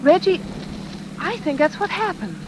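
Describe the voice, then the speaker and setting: A woman's voice: very high voice, sing-song, normal speed. An actress playing an earnest, devoted, well-bred young woman in refined, emotive 1940s radio drama style. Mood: anxious, tender. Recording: noisy, hissing old radio recording.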